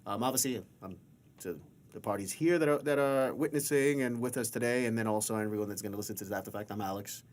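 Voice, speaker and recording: A man's voice: on the low side, quick pace. A man hosting a casual interview, speaking informally and often repeating phrases in agreement. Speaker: podcast host and interviewer.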